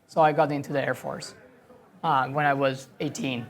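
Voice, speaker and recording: A woman's voice: extremely low pitch, fairly even intonation, moderate pace. A woman from Italy with an Italian accent, a sailor talking casually in an interview, laughing often and acting out what people said to her. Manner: chatty, laughs often, acts out quoted dialogue. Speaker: professional sailor guest.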